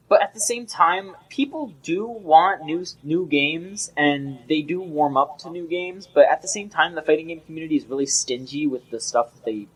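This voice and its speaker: A man's voice: on the high side, breathy edge, poor audio. A young man co-hosting a casual gaming podcast, rambling and often restarting his sentences. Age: young adult. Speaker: podcast co-host.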